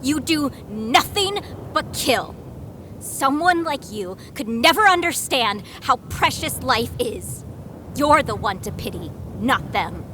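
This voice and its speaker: An earnest female fighter speaks in reproving tones in an audio drama. Her voice is fairly high, and a faint rumble runs behind it. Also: expressive, slow, pushed and bright, heavily acted. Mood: reproachful. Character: reproachful, conscience-stricken female wasteland fighter.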